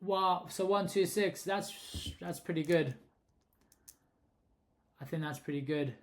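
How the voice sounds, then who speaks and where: A man's voice: a little high, slow pace. A young man with a South African accent casually talking through a pricing tutorial, thinking aloud and often correcting himself. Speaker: short-term rental host explaining pricing. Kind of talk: casual screen-recorded tutorial.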